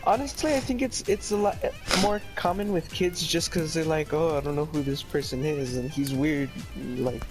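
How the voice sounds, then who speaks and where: A young man from El Paso, answering interview questions in a relaxed, rambling way. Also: a little high, normal speed, hardly any pauses, over music, poor audio. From El Paso, Texas.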